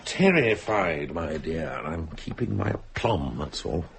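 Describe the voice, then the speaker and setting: A very deep-voiced, creaky English cynic on the verge of middle age. He is urbane and self-mocking, speaking with theatrical wryness in a radio drama. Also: animated, a little slow, slight background noise, exaggerated voice. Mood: dry, suave. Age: middle-aged. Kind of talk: British radio drama acting.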